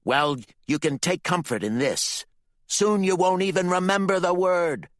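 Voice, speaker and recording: A man's voice: high voice, unhurried, a bit bright, no room sound, clean studio sound, voice pushed up. A man voicing a cruel, scheming father who calls himself Reverend, sneering and threatening. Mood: menacing.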